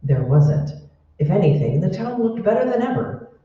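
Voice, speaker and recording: A man's voice: sits high, big pitch swings, normal speed. A man narrating a scripted old-time radio drama. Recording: very echoey hall, distant mic, muffled.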